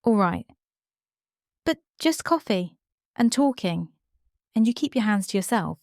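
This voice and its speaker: A woman's voice: medium pitch, animated, a little slow, a little mellow, no echo, clean studio sound. A woman voicing a skeptical, bold female artist in a romance story, guarded, questioning and direct. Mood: wary, firm. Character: skeptical, bold young woman artist, wary but fascinated.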